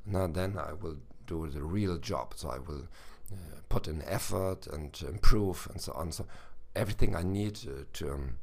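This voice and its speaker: Male podcast host, hoarse today, very low and gravelly voice, halting delivery full of "uh"s. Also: a little mellow. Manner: halting, with many "uh" fillers and restarts. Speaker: podcast host and language teacher. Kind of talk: solo educational podcast talk.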